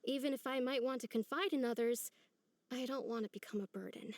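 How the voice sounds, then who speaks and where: A woman playing a gentle, lonely young village woman in a video game, speaking in an earnest, soft, hesitant way. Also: a little high, a little fast, no echo, close to an everyday voice. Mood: wistful.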